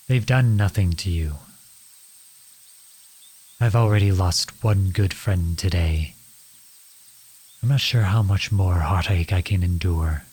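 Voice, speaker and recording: Casting: male actor for a young outlaw prince, earnest and defiant, lower-pitched husky voice, audio drama. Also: a little mellow, exaggerated voice. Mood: resigned, sorrowful. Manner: earnest, deliberate, pleading and accusing. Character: defiant outlawed young prince confronting his cruel king father. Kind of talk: dramatic audio roleplay acting.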